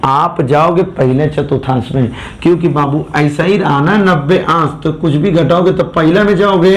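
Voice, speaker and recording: A hoarse male teacher walks students through a problem. His voice sits a little high for a man, and his throat sounds worn. He repeats each step and keeps checking that the class is following. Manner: coaxing, repeats steps and checks understanding. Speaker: math teacher. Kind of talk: classroom math lesson.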